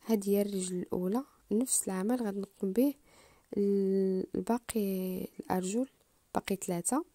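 Woman, Moroccan Arabic accent, quick talker, very dark mellow tone, dry close sound, DIY tutorial voice-over. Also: medium pitch, no echo. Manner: unhurried, explanatory, addresses viewers directly. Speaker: home DIY channel host. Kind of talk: step-by-step assembly tutorial voice-over.

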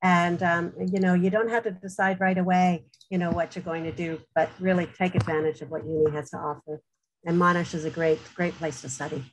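A woman's voice: lowish voice, little pitch movement, fairly quick, poor audio. A middle-aged woman from Canada with a Canadian accent, a university radiography lecturer answering on a webinar panel in an earnest, encouraging way with many ums, heard through a headset on a video call.

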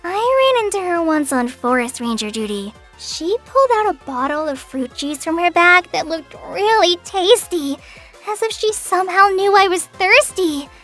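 An earnest young girl ranger from a fantasy video game, with a very high, youthful voice. She speaks softly and hesitantly, sincere and a little shy. Light music plays behind her. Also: lively intonation, moderate pace. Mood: sheepish. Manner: hesitant, soft-spoken, sincere. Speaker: game character voice. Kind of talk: scripted video game character lines. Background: background music.

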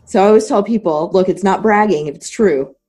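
A woman interviewing on a podcast in an articulate, measured conversational way. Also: medium pitch, warm tone.

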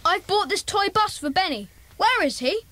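An actress playing an eager, curious schoolchild in a children's audio drama. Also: female voice, very high-pitched, animated, normal speed, a bit bright. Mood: cheerful, curious.

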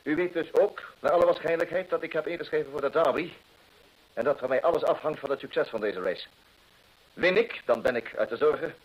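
An actor playing a proud, desperate gentleman landowner in an old radio drama, husky, earnest and theatrical. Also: fairly high pitch, fairly quick, highs cut off. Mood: anxious, pleading. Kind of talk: old-time Dutch radio drama acting.

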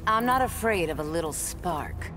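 Game heroine female actor: regal, stern warrior goddess, dramatically swooping delivery, loud music behind. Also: fairly low pitch, expressive, normal speed, close to an everyday voice. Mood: commanding. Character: proud, commanding Amazon warrior goddess.

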